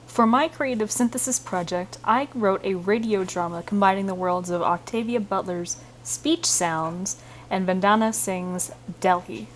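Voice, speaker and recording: A woman's voice: medium pitch, a little slow. A young adult woman giving a scripted class presentation as a student, recorded at a computer. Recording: faint background noise.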